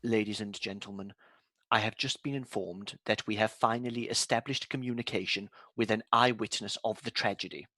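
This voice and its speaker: A man's voice: fairly low pitch, moderate pace, no echo. A man playing a composed radio news announcer who reads bulletins formally, in a 1930s-style radio drama. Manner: formal, even bulletin delivery.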